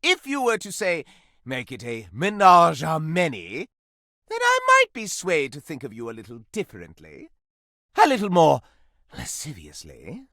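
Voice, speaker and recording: A man voicing a flamboyant, lustful antique candelabra in a video game, with a pompous, florid, melodramatic delivery full of breathy swoons and purrs. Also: on the high side, wildly swooping pitch, moderate pace, bright, forceful tone, no room sound, clean studio sound, exaggerated voice. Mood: seductive. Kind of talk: video game character acting.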